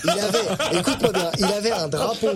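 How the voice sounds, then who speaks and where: A man in his thirties, a podcast guest telling animated anecdotes and laughing often. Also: high-pitched, brisk, a loud crowd. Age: 30s. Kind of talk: casual chat, storytelling.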